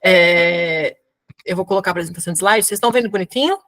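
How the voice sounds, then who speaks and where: A woman's voice: lowish voice, fast, a bit bright. Chatty São Paulo woman presenting casually. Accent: São Paulo Portuguese. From São Paulo, Brazil. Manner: chatty, informal slang, frequent fillers. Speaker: guest speaker presenting to a professional community. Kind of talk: casual live webinar talk.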